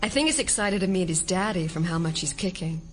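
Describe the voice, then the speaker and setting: A woman playing a gentle, affectionate pregnant wife in an audio drama, speaking softly. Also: fairly low pitch, animated, brisk, natural voice. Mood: tender. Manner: soft, affectionate.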